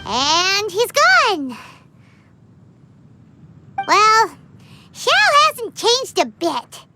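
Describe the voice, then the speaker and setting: A woman voicing a small, childlike, excitable floating companion creature in a video game, squeaky and exclamatory. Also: very slow, full character voice. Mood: musing. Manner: whiny, exclamatory, speaks of self in third person.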